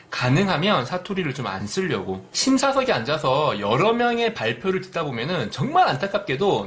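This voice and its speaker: A man's voice: on the high side, a bit bright. A middle-aged man from Busan with a light Busan accent, giving direct, earnest business advice in a vlog. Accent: light Busan dialect. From Busan, South Korea. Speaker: business YouTuber.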